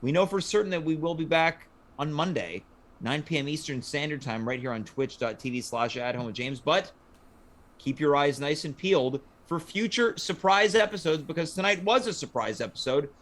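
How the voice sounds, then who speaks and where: Higher-pitched man hosting a casual livestream. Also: moderate pace, fairly bright tone. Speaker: host of a livestream show. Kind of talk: casual panel show hosting.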